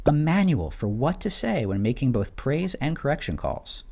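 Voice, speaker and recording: A man's voice: medium pitch, big pitch swings, moderate pace, gravelly, a little mellow. A man reading a scripted explainer narration in a playful storytelling way, with emphatic stresses. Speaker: narrator of a teacher training video.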